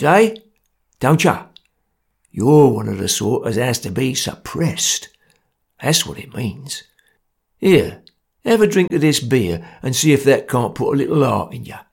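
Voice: mid-range voice, gravelly, darkish tone, slightly rough, exaggerated voice. Narrator doing a grim heavy. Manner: grim, blunt, bullying. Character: tough, menacing Cockney ringleader.

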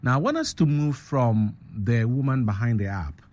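A man hosting a breakfast radio show, asking a guest measured, probing questions. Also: medium pitch, animated, a little mellow. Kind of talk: radio interview.

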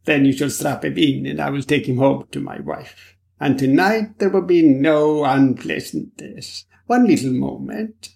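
A man puts on a rattled foreign gentleman in a storybook mystery, his voice pitched up high and slowed into drawn-out, halting pleas. He sounds shaken and eager to please. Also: creaky. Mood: anxious. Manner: pleading, halting, emphatic. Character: nervous foreign man, dazed and jittery.

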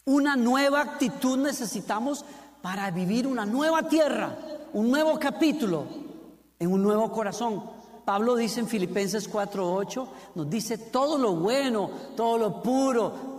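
A man from Costa Rica with a Costa Rican Spanish accent, preaching a sermon, asking the congregation questions and building to fervent emphasis with repeated phrases. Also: very high-pitched. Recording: long hall reverb.

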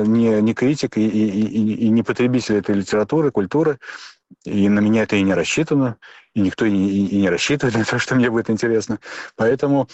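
Thoughtful low-voiced middle-aged man. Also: a little mellow, no room sound. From Russia. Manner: thoughtful, hesitant with restarts and fillers. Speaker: guest. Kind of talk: interview answers.

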